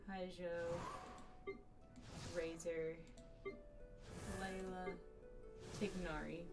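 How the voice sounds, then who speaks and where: Woman game streamer, lowish voice, casual rambling chat, distant mic, loud music behind. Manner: rambling, thinking aloud while playing. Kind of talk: casual livestream gaming chat. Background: loud video game music.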